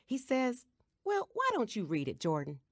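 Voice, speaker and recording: A woman's voice: on the high side, huge pitch swings, moderate pace, dry recording, clean studio sound, exaggerated voice. A woman playing a kind, reassuring Sunday school teacher in a radio drama, patient and instructive. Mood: gentle, assured.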